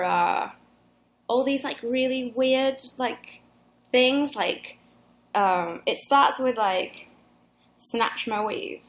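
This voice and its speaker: A woman's voice: fairly high pitch, a bit bright. A woman in her twenties with a mixed Northern English accent, chatting casually with fans in a live Q&A, rambling and swearing with many restarts. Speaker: singer hosting a live fan Q&A. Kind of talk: casual livestream chat.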